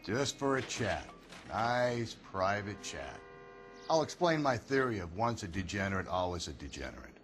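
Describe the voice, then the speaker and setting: An actor playing a cynical, menacing police detective sidekick in a video game crime drama. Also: male voice, fairly low pitch, very animated, moderate pace. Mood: sardonic menace. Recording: loud music behind the voice.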